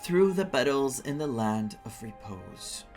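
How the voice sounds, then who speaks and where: A young man with a Greek accent streaming a game, chatting excitedly, laughing often and reading quest text aloud. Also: mid-range voice, unhurried, faint music behind.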